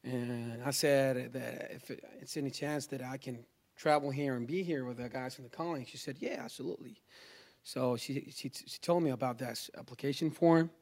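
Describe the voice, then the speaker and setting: A man from Chile, a native Spanish speaker with a Chilean accent, tells a personal religious testimony in a halting, informal way. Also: medium pitch, darkish tone. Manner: halting, informal, peppers talk with "you know" and "man".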